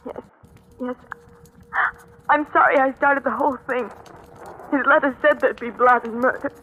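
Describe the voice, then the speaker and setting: A woman's voice: sits high, steady tone, moderate pace, slight background noise, lightly acted. A woman playing an anxious, pleading young woman in a 1940s radio drama, earnest and melodramatic. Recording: dull vintage radio recording.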